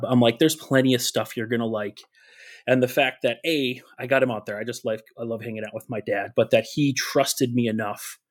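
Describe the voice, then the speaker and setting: A man co-hosting a podcast, telling a personal story with many restarts and fillers. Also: mid-range voice. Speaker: podcast co-host. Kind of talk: casual chat.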